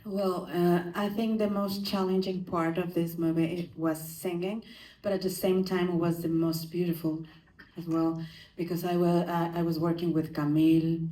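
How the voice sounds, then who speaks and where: A woman actor answering in a measured way on a premiere panel. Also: lowish voice, flat delivery, dark tone. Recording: distant echoey theater mic.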